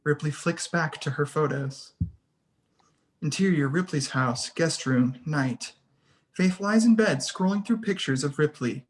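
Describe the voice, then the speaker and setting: Screenplay narrator, medium pitch, scripted scene descriptions, table read. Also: unhurried. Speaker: stage-direction reader.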